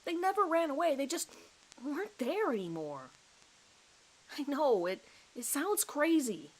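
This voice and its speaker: Horror audio drama: rattled male suspect played by a woman, halting, stammering, bewildered, pleading innocence. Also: high-pitched, very animated, pitched above the performer's own voice. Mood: bewildered, uneasy.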